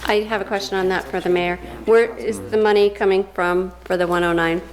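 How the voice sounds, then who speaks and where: A woman's voice: medium pitch, steady tone, fairly quick, poor audio. A woman council member asking informal questions at a public meeting.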